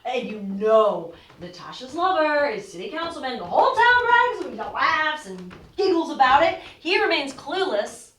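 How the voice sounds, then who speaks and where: A woman acting a weary, despairing young woman of twenty-three in a stage play, speaking in halting, stammering bursts. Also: high voice, big pitch swings, a bit bright, a little hiss or hum. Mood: weary lament. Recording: roomy stage sound from far off.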